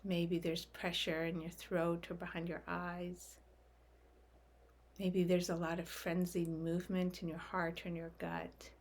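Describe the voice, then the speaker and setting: A woman leading a guided meditation in a gentle, soft, unhurried way, leaving long calming pauses. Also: on the low side.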